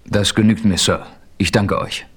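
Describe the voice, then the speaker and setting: A man playing a steady, heroic frontiersman who also narrates, in a measured, composed radio-play delivery. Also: on the low side, background noise, close to an everyday voice. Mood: calm, resolute. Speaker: first-person narrator and hero. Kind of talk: 1970s German radio play acting.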